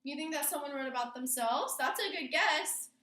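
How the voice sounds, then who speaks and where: A woman teaches a class in a high, bright voice, guiding it with prompting questions and poetry read aloud. The room around her echoes noticeably. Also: animated, moderate pace. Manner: instructing, asks prompting questions. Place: indoor classroom. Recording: roomy, echoing classroom sound.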